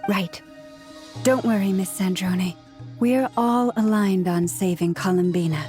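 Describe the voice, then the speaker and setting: An actress playing an earnest, loyal young woman in a video game, courteous and softly formal. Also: mid-range voice, measured pace, faint music behind, close to an everyday voice. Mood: reassuring.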